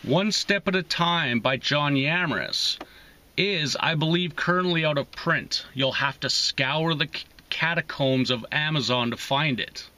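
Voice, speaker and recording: Forceful, deliberate man reading poetry. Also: fairly high pitch. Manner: deliberate, pausing between short phrases. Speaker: host of a poetry reading series. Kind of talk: slow, emphatic poetry reading. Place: inside a parked car.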